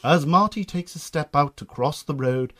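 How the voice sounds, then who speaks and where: A man narrating a comic radio drama in a theatrical storytelling style with deadpan asides. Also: a little high, normal speed.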